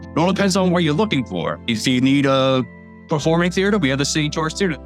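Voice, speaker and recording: A man's voice: on the high side, sing-song, fairly quick, faint music behind. A man, giving casual, opinionated answers in a documentary interview. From Staten Island, New York. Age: 30s. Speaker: local resident interviewee.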